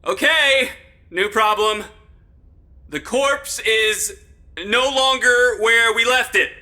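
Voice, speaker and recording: A man plays a wisecracking sleuth in a comedy audio drama. His voice is high for a man and has a sharp edge. The delivery is casual, jittery and sarcastic. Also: slow pace, full character voice. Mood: alarmed.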